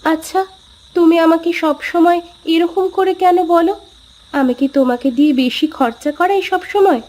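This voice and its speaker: A woman's voice: very high voice, normal speed, darkish tone. A woman voicing a pampered, touchy, complaining city wife in a dramatised moral story.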